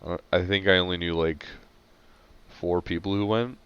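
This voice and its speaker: A man co-hosting a podcast, talking in a laid-back, dry, halting way. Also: sits low, steady tone, clean tone. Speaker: podcast co-host. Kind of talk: casual chat. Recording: dull lo-fi mic sound.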